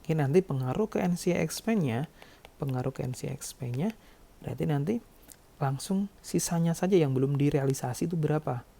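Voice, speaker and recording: Very dark-voiced man explaining, gravelly edge. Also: a little high, brisk. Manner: step-by-step, frequently checking with "ya". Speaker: accounting lecturer. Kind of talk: tutorial explanation of worked calculations.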